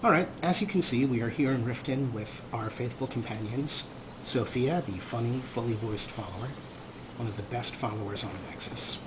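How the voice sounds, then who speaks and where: A man's voice: mid-range voice, moderate pace. A man hosting a video game let's play, giving chatty, wry commentary with a showman's touch. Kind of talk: gameplay commentary. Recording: clearly noisy, game ambience underneath.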